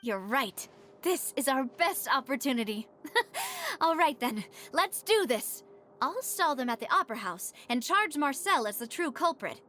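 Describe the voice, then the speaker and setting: A woman playing a poised, determined young woman in a video game, speaking in an articulate, measured way. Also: high voice, big pitch swings, sharp tone, faint music behind, full character voice. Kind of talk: video game character dialogue.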